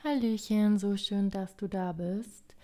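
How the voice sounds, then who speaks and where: A woman hosting a podcast that guides writing meditations, talking gently and unhurriedly, her voice a little hoarse from a cold. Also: medium pitch, steady tone, slow pace, over music. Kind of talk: calm guided podcast talk.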